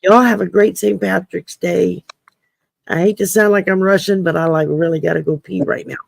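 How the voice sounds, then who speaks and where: A woman's voice: lowish voice, steady tone, normal speed, creaky, dry recording. A woman co-hosting a livestream, chatting in an upbeat way as she thanks the viewers and signs off. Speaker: co-host. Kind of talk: casual livestream sign-off.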